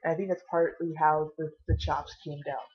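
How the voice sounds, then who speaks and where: A tired, caffeinated woman vlogging casually with a low voice, rambling and swearing now and then. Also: level tone, normal speed, muffled recording.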